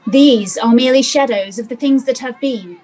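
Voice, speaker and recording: A woman playing a calm, firm spirit guide in a radio play, measured and gently reproving. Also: on the high side, a faint crowd, close to an everyday voice. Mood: gently probing.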